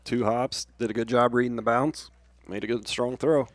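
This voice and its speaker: A man's voice: medium pitch, steady tone, moderate pace, no echo. An American man giving casual live analysis as color commentator on a baseball broadcast.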